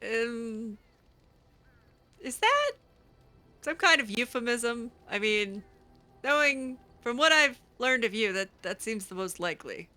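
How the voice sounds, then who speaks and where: Bright, hesitant woman playing gentle young mage. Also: a little high, big pitch swings, takes it slowly, long pauses, exaggerated voice. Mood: curious. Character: kind, awkward young female blood mage. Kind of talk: improvised in-character video game roleplay.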